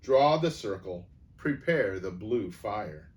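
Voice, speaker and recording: A man's voice: on the low side, animated, slow pace, a little mellow, far from the mic. A man voicing a gray, terrible werewolf who speaks coldly and gives orders in a storybook reading. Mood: menacing. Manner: cold, terrible, commanding. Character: gray terrible male werewolf, cold and menacing.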